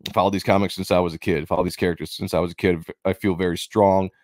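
A man hosting his own channel, giving a rambling, opinionated commentary and repeating himself, his voice going hoarse. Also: low voice, flat delivery, racing, a little mellow, no room sound, clean studio sound. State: losing his voice, coughing. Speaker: comic book channel host.

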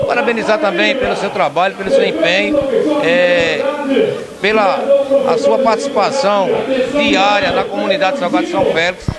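Older guy from brazil's northeast doing event interview, high voice, really noisy crowd outside. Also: moderate pace. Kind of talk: informal on-location interview. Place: outdoor night event, in front of a sponsor backdrop. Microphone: handheld microphone. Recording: loud crowd noise behind, outdoors.

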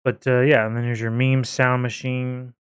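Man, casual software walkthrough, informal, hesitant and rambling, middle pitch, clear voice, close mic. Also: flat delivery, normal speed, dry recording. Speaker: video creator reviewing software. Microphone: close desktop microphone.

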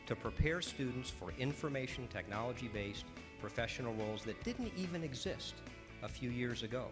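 A man giving a measured corporate speech as a company executive, with some hesitant fillers. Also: mid-range voice. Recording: music playing underneath.

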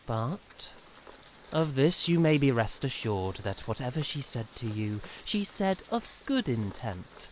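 A man acts a haughty, merry young knight in an audio drama. His courtly speech swings widely in pitch and moves at a measured, slow pace. He sounds grandiose and theatrical. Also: big pitch swings, a little hiss or hum. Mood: courtly, earnest. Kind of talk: fan audio drama acting.

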